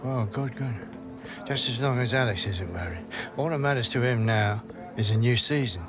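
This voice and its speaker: An older man playing a kindly retired footballer and proud grandfather in a video game cutscene, speaking in a gentle, fond way. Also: medium pitch, normal speed, lightly acted. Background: strong background music.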